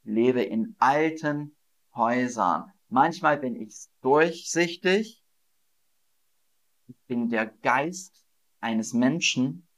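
Guy running a language quiz, talks super slow, says everything twice, mid pitch. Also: lively intonation, fairly bright tone, poor audio. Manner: slow and deliberate, repeats each phrase; laughs at his own slips. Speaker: German teacher. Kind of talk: slow, repetitive quiz lesson for learners.

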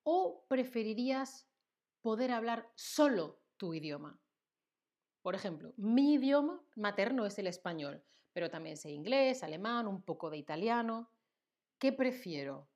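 A woman's voice: mid-range voice, big pitch swings, darkish tone. A young woman from Seville with an Andalusian Spanish accent, hosting a playful live-stream Spanish lesson, chatting with viewers and laughing often. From Seville, southern Spain. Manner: playful, chatty, laughs often, reads chat names aloud; lists words slowly with hesitant fillers.

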